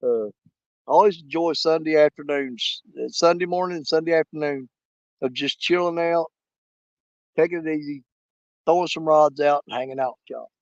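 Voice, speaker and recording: Hoarse, gravelly outdoorsman talking to viewers. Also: fairly high pitch, normal speed, no room sound, dull audio. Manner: rambling, folksy, talks straight to viewers. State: hoarse, losing his voice. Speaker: fishing live-stream host. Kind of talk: casual live-stream chat. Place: outdoors at a small lake.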